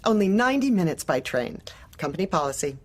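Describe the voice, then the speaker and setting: Female office manager: lowish voice, lively swoops in pitch, polite but prying, bureaucratic, dark comedy audio drama. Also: fast. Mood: firm, officious. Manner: polite, probing, businesslike, bureaucratic.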